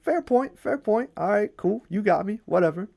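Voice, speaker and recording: A laid-back American trickster, put on by a male storyteller, with a voice lifted high. He is a slangy, offhand sore loser in a casually told myth. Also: lively intonation, moderate pace, creaky, dark tone, heavily acted. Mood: grudging acceptance.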